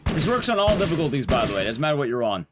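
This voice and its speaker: A man's voice: fairly high pitch, big pitch swings, racing. A man streaming casual speedrun commentary, rambling wryly to his chat. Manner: wry, rambling asides to chat. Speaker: game streamer. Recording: game audio noise behind voice. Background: game gunfire and clicks.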